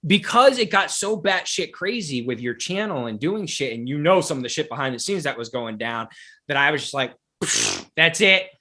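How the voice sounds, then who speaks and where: A man with a New England accent co-hosting a casual podcast chat, speaking in a halting way and often repeating words. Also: a little high, lively intonation, sharp tone. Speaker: podcast co-host.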